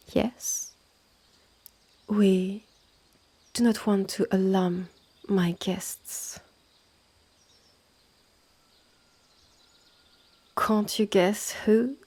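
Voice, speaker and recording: A woman with a French accent voicing a stern, haughty witch in an audio roleplay, condescending and deliberate, with a creaky, partly hushed delivery. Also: medium pitch. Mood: cool, controlled. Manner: condescending, deliberate, clipped phrases with exasperated sighs. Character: stern, haughty witch innkeeper, sardonic and impatient. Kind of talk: scripted second-person audio roleplay, close and measured.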